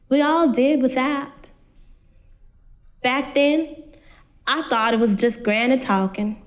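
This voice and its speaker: Woman acting a high-voiced, slow college girl. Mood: reflective realization. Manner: folksy, earnest, pausing storyteller. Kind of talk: dramatic monologue on stage.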